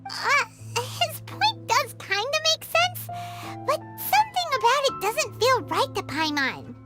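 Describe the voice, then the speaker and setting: A woman voicing a tiny, cheeky, excitable fairy companion in an anime video game, with a squeaky childlike voice and exaggerated delivery. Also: measured pace, exaggerated voice. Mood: indignant. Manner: exaggerated, expressive, speaks of herself in third person. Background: game music.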